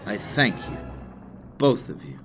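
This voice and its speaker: Audio drama: male actor as loyal gentleman adventurer, low voice, lively intonation, courteous, strong music beneath. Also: measured pace. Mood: warm respect.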